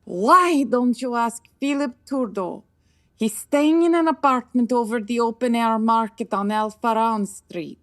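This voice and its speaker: Slow-speaking, proud Turkish beauty. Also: female voice, a little high, fairly bright tone. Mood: curt. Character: proud, irritable, fading Turkish beauty who runs a bathhouse. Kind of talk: radio noir acting.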